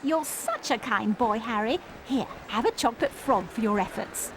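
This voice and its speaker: A woman's voice: fairly high pitch, animated, normal speed, noisy background. A woman with an English accent playing a kindly, motherly middle-aged witch in a video game.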